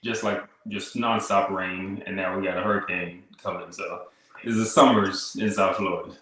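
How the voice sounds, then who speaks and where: Deep-voiced man in a roomy echo. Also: normal speed. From Haiti. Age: 30s. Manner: relaxed storytelling, frequent "like" and "kinda" fillers. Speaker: guest. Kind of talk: casual interview chat. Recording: echoey room.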